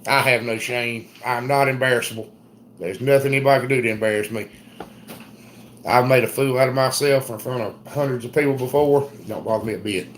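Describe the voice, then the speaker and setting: A man's voice: mid-range voice, a little fast, slight background noise. Folksy Southern man hosting a livestream. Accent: Southern US, South Carolina. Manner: rambling, folksy, reads viewer comments aloud. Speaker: homestead livestream host. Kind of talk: casual live-stream chat.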